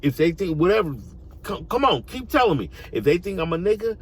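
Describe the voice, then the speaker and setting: A man's voice: high-pitched, very animated, a little fast, slightly rough. An American man giving an opinionated, informal monologue, emphatic and slangy, repeating phrases to drive points home. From United States. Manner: casual street slang. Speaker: online commentator.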